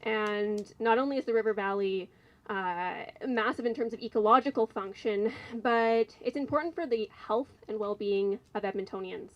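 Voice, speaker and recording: A woman in her thirties, a council candidate, giving a measured, polished speech with some fillers into a mic in an outdoor tent. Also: mid-range voice, dull audio. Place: outdoor tent at a community forum. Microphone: mic on a stand.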